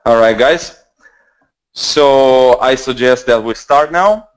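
A young Bulgarian man in his twenties speaks English with a Bulgarian accent through a headset mic. He presents a trading webinar in a loose, conversational way, restarting sentences and padding them with fillers. His voice sits at an ordinary middle pitch. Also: slow pace, clean tone, rough recording. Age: 20s. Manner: casual, rambling with many fillers and restarts. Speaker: trading mentor.

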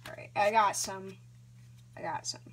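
A woman hosting a casual card-opening vlog, talking in a hesitant, rambling way with many ums and restarts, sometimes dropping to a soft whisper. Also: medium pitch, steady tone, moderate pace, slight background noise. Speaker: channel host.

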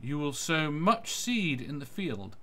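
A man's voice: fairly high pitch, very animated, slow, a bit bright. A man reading Bible verses aloud as a guest reader.

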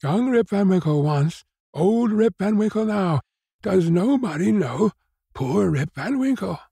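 A man's voice: high voice, unhurried, mellow, husky, no room sound, studio quality, voice pushed up, heavily acted. A man voicing a bewildered, despairing, ragged old man with faltering, plaintive exclamations in a storybook reading. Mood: emotional, pleading.

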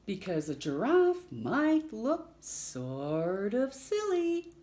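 Expressive woman storyteller, very animated pitch. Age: middle-aged. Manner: expressive, drawn-out storytelling delivery with playful emphasis. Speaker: children's storytime host. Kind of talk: reading a picture book aloud to children. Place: indoors in a home room.

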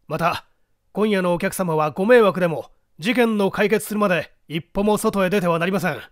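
A man's voice: high-pitched, normal speed, dry recording, clean studio sound, voice pushed up, natural voice. Man acting a brusque, commanding police detective. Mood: urgent, commanding. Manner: brusque, authoritative.

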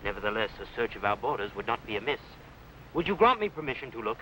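A loyal, fervent Saxon retainer in a fifties costume drama. He declaims in old-fashioned phrases with a bright, forceful voice. A faint hiss of old film soundtrack sits on it. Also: on the low side, sing-song, fast, rough recording. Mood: concerned. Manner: emphatic, archaic theatrical declaiming. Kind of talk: 1950s television costume drama acting.

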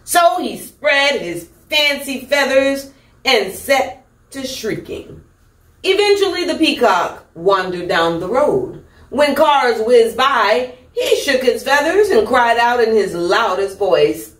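A middle-aged woman hosting storytime, reading a children's picture book with deliberate, theatrical emphasis. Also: fairly high pitch, big pitch swings, a bit bright. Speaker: storytime host. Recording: echoey room, distant microphone.

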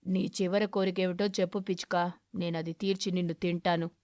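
Woman putting on a low, menacing eagle. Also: flat delivery, brisk, darkish tone, no room sound, studio quality, lightly acted. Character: menacing predatory eagle, slow to understand.

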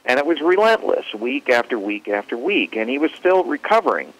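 Hesitant male presenter, mid-pitched voice. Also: very animated, fairly bright tone, highs cut off. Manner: hesitant, halting. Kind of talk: informal historical talk introducing radio clips.

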